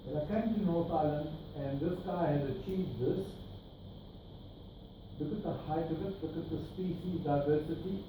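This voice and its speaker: Man, South African accent, middle-aged, workshop slide talk, fairly high voice, far from the mic, noisy room. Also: normal speed. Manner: explanatory, points at slides, rhetorical asides. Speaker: grazing workshop presenter. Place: meeting room with projector screen. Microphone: far camera, no mic. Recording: distant echoey room with noise.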